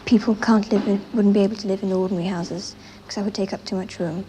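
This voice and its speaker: A young girl gives a casual interview answer. Her voice is mellow and mid-pitched, with faint noise on the 1960s recording. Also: fairly even intonation, fast talker. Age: child or teenager.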